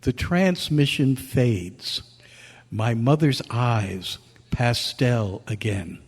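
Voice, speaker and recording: Actor playing a slow, wistful son narrating in hall. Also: male voice, mid-range voice, slightly rough, heavily acted. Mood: tender, resolved. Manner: measured, solemn, deliberate phrasing. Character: bereaved grown son narrating his mother's farewell, wistful, reflective. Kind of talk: scripted dramatic narration in old-time radio style. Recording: long hall reverberation.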